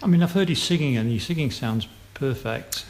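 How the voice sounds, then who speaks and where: A man's voice: medium pitch, lively intonation, fairly quick, a little mellow, echoey room, a little hiss or hum. A man hosting a radio interview, asking conversational questions with brief encouraging interjections. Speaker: radio presenter.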